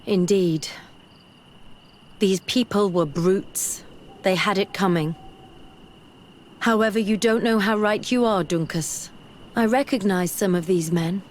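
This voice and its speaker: An actress playing an earnest, bookish young female scholar in a video game, speaking in a measured, articulate way. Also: mid-range voice, a little hiss or hum, lightly acted. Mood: troubled, ashamed. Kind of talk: video game dialogue acting. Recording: music behind the voice.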